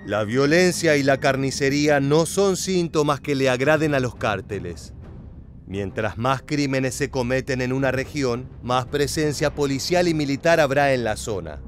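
A man giving scripted voice-over narration at a steady, measured pace. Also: medium pitch, soft background music. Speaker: documentary narrator.

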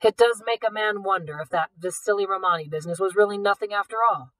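A woman voicing a dismissive older man posing as wealthy, formal and measured, in an audiobook. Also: medium pitch, a bit bright, no echo, lightly acted, low-quality recording. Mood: dismissive, composed.

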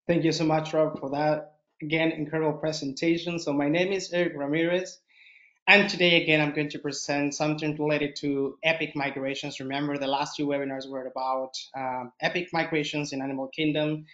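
A man's voice: on the high side, little pitch movement, a little fast, fairly bright tone. A man from Mexico with a Mexican accent, presenting a nature webinar over a video call in slightly broken English with frequent fillers. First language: Spanish. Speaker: expedition leader presenting a webinar. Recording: roomy, dull video call audio.

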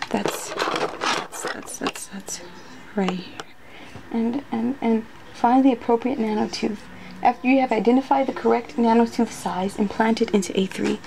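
A woman's voice: on the high side, unhurried, gravelly, noisy background. A woman doing an ASMR roleplay as a flustered student, muttering hesitantly to herself, repeating words and often slipping into whispers. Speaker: ASMR roleplayer acting as an unprepared student taking a quiz.

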